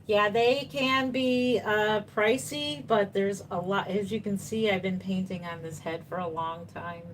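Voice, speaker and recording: Chatty woman in her fifties, low voice. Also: a little hiss or hum, poor audio. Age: 50s. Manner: chatty, reassuring, answers viewers while painting. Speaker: reborn doll artist live-streaming. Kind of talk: casual live-stream chat reading comments.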